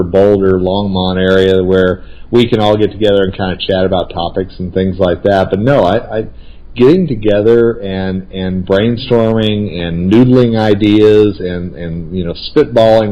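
A man's voice: on the low side, dark tone, lo-fi sound. A man chatting casually as a regular co-host, in a friendly way with many restarts. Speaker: regular guest and co-host, speaker and consultant. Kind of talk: casual conversational chat.

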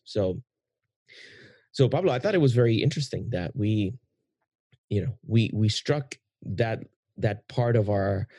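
A man's voice: on the low side, warm tone, slightly rough, dry recording. A man hosting a podcast, chatting casually with a guest, often hesitating with fillers and restarts. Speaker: podcast host.

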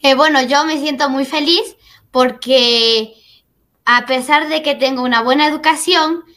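A woman's voice: high voice, unhurried, a bit bright. A teenage girl answering interview questions hesitantly, opening with fillers. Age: teens. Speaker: guest.

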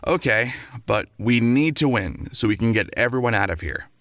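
An actor playing an earnest, competitive game show contestant in an audio drama. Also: male voice, mid-range voice, lively intonation, moderate pace, a bit bright, dry recording. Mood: earnest, determined.

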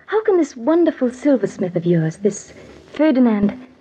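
An actress playing a dreamy, enraptured young bride-to-be in an old-time radio drama, with a wistful, fervent delivery. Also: female voice, fairly high pitch, very animated, moderate pace, dark tone. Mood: eager, wistful. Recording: muffled old radio recording, faint noise.

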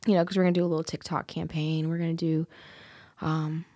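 A woman's voice: low voice, flat delivery, fast, dark tone, no room sound, studio quality. A woman singer chatting as an interview guest, earnest and candid, with plenty of fillers and restarts. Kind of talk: casual interview chat.

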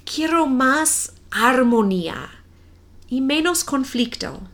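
Slow, lively-voiced woman reading a story. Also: high voice. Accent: non-native Spanish accent. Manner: careful, deliberate reading with clear pauses. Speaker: Spanish teacher.

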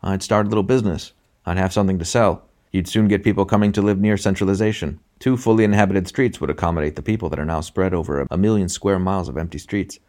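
A man's voice: low-pitched, quick pace, a little mellow, close to an everyday voice. A man voicing a shrewd, scheming passenger who talks in a confiding, businesslike way in an audiobook. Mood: scheming, eager. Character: shrewd, scheming, furtive intelligent male passenger.

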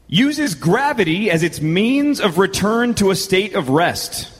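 A man's voice: high voice, big pitch swings, a little slow, breathy edge. A man hosting a live quiz game, reading out clues in an announcer style. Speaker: game show host. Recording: reverberant live venue with music.

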